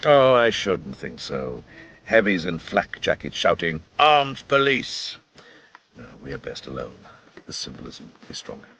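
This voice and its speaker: A man's voice: deep voice, very animated, a little slow, creaky, fairly bright tone, a little hiss or hum, exaggerated voice. An actor playing a sardonic, cocksure and reckless senior policeman in a radio crime drama, clipped and commanding. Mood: sardonic, hushed.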